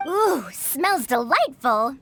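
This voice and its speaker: A woman's voice: high-pitched, big pitch swings, slow pace, very noisy, full character voice. A woman voicing a small, shrewd, money-minded merchant in a video game, with a theatrical, wheedling manner. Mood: gracious, wheedling. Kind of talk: animated video game voice acting.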